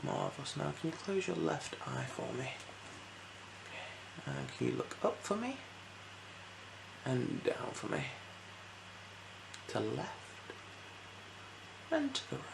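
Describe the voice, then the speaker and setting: A soft-spoken person performing an ASMR eye exam roleplay as an optician, giving gentle instructions with hesitant pauses. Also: medium pitch, very animated, vocal fry, background noise.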